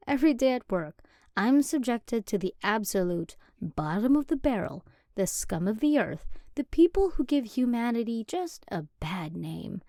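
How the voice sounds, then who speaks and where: A woman's voice: on the high side, warm tone, no echo. A young woman voicing an affectionate, chatty female demon girlfriend in an ASMR roleplay, rambling and sometimes dropping to a whisper, close to the mic.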